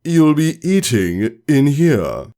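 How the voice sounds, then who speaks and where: Storybook role: strict female pony teacher, acted by a man, raised pitch, sarcastic, authoritative, no-nonsense. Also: very animated, takes it slowly, dark tone. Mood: stern. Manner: matter-of-fact, commanding. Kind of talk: storybook character voice.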